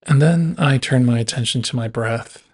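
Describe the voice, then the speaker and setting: A man guiding a body scan meditation in a measured way, leaving long pauses between phrases. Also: mid-range voice, darkish tone, breathy edge. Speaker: meditation guide.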